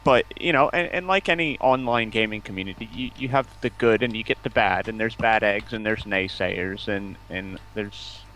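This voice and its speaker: Low-pitched man, halting casual talk. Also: sharp tone, a little hiss or hum. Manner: informal, halting, repeats words. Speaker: interview guest, gamer. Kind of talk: casual online chat.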